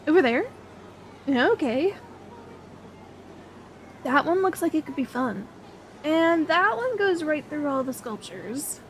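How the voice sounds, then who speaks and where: Young female best friend played by a woman, expressive roleplay style, unhurried, faint noise behind. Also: high-pitched, full character voice. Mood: playful, chatty. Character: excitable young woman on an outing with her best friend, sweet and easily discouraged. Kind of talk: ASMR roleplay audio drama addressed to the listener.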